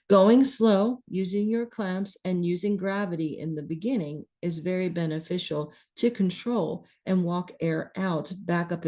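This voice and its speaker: A woman teaching clinicians about priming and running a circuit, slightly hoarse as she is losing her voice, in a measured, explanatory way. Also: on the low side, mellow. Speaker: ECMO educator. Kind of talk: instructional talk.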